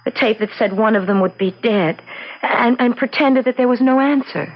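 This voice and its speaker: A woman's voice: on the high side, moderate pace. An actress playing an anxious, pleading young American woman, emotional and hesitant, in an old-time radio drama. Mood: fearful, pleading. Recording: muffled vintage radio, loud noise behind.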